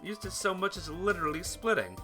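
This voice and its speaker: A man's voice: sits high, very animated, fairly quick, music in the background, voice pushed up. A man reading the part of a put-upon teenage boy in a visual novel, complaining and sardonic. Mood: exasperated.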